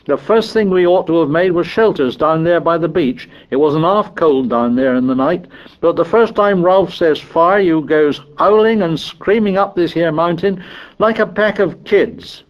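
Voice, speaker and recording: A man's voice: high voice, animated, a little slow, lo-fi sound, voice pushed up. A man voicing an indignant, fearful schoolboy who scolds and pleads in ungrammatical working-class British speech in an audiobook story.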